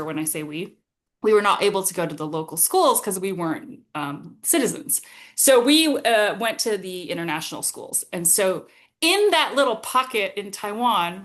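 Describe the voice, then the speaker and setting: A woman in her forties, from Singapore, with a General American accent, speaking as an interview guest in a reflective, conversational way with frequent laughs. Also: mid-range voice. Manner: warm, reflective, laughs often, some hesitations. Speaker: guest, consultancy founder.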